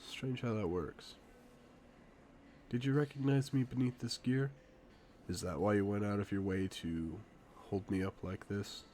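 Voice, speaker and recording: A man playing a suave, confident secret agent in a spy audio drama, speaking in a playful, theatrical, deliberate way. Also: lowish voice, darkish tone, slight background noise, natural voice. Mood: wry, composed. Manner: smooth-talking, playful, theatrical, deliberate phrasing. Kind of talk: scripted one-sided audio roleplay, spy drama.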